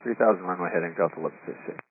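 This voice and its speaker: A man's voice: deep voice, very fast. A man speaking as an airline pilot, rattling off readbacks over aviation radio. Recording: tinny cockpit radio with faint static.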